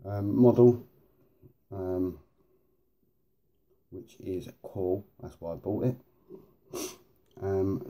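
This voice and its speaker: A man's voice: low-pitched, dark tone. A man hosting a casual, rambling hobby vlog in informal, matey slang, with a sniffly, blocked nose. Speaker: host of a hobby video series.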